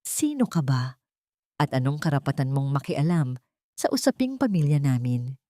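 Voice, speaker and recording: A woman's voice: rumbling low, very animated, moderate pace, dark tone, no room sound, clean studio sound, pitched below the performer's own voice. A woman voicing a deceitful, greedy boyfriend with menace under false sweetness, in an audio story. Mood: angry, accusing. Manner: falsely tender, turning hostile.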